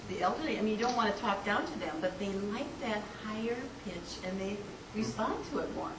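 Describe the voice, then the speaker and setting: A woman chatting in a relaxed group discussion, telling stories and laughing easily. Her voice comes from a distance in an echoey room. Steady noise runs underneath. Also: medium pitch, fairly quick. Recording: echoey room from far off, noisy.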